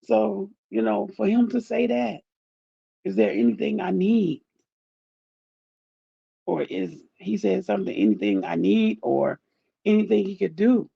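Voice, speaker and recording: A grieving man with a groggy, deep voice, talking in a rambling, heartfelt way as he thanks his viewers in a casual chat. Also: animated, a little slow, vocal fry, pauses often, husky, no echo, muffled recording. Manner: soft-spoken, rambling, heartfelt. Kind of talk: casual direct-to-camera chat.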